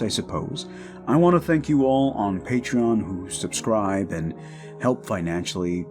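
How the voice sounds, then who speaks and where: Man with an animated, lowish voice. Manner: earnest, rambling, thoughtful. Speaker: audio drama voice performer and writer. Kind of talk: casual reflective outro talk to listeners. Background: music.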